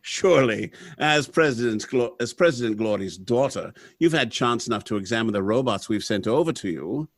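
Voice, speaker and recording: Male actor as factory boss in 1930s-style radio play: formal, courteous, businesslike, mid-range pitch, dry recording. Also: expressive, no room sound. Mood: courteous, attentive. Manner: formal, brisk dictation and polite address. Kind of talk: recreated 1930s radio drama acting.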